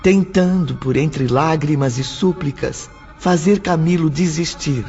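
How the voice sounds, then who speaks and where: A man's voice: a little high, measured pace, a little mellow. Male narrator, scripted dramatic storytelling, dull recording, prominent music.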